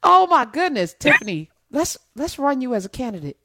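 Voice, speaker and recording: A woman hosting a casual political chat, talking in an animated, emphatic and teasing way. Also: sits high, brisk, no echo. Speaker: host.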